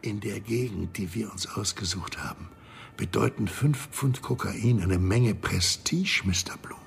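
An actor playing a shady, condescending crime boss in a radio thriller, with a husky, gravelly voice and a smug, wordy manner. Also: male voice, on the low side, a little slow, slight background noise. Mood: smug, businesslike. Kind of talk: radio crime drama acting.

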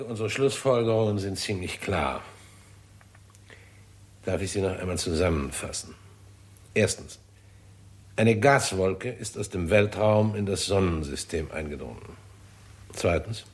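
A man acting as an observatory director with a fairly low voice. He is authoritative and official, setting out each point deliberately and in order, in sixties radio drama style. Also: lightly acted. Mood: summing up.

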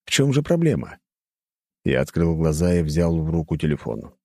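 Male narrator for audiobook fiction: low, velvety voice, close dry recording, scripted first-person storytelling. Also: sing-song, moderate pace, mellow, no echo.

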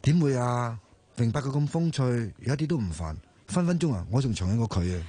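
A man's voice: mid-range voice, animated, brisk, mellow, slightly rough, dry recording. An actor playing an earnest young man in love in a Cantonese radio drama, insistent and sincere, with a Hong Kong accent. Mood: kindly.

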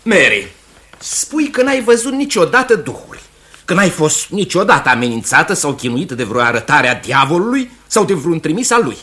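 An actor playing a sceptical, exacting magistrate in a radio drama, questioning in a formal, pointed way. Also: male voice, on the high side, big pitch swings, pushed and bright. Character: sceptical, exacting male magistrate cross-examining a witness.

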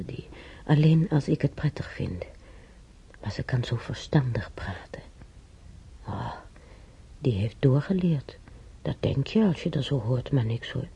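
Very husky, gravelly elderly woman. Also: rumbling low, dark tone, full character voice. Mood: wistful, admiring. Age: older. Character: weary elderly German-born former housemaid, sick and timid. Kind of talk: naturalistic radio drama monologue and dialogue. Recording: dull, muffled radio play recording.

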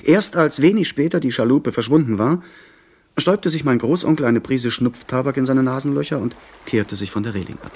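A man performs a young gentleman at sea, polite and sincere. His voice sits at an average male pitch. The delivery is articulate, literary radio drama acting of the 1970s. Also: fairly quick, lightly acted. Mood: measured, narrating. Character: earnest young gentleman adventurer, narrating his story. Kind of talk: 1970s German radio drama, dialogue and narration.

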